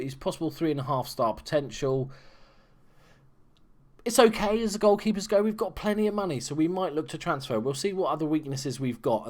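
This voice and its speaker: A young man with a Scouse accent, chatting casually as he talks through a football management game, often stopping to correct himself. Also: mid-range voice. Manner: chatty, relaxed, self-correcting. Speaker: video game guide host. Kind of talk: casual game commentary over footage.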